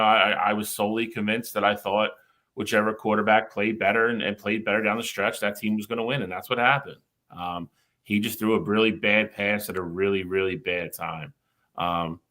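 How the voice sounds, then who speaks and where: Hesitant low-voiced sports co-host. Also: male voice, bright, forceful tone. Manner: hesitant, measured, many fillers and restarts. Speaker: podcast co-host. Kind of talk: casual sports discussion.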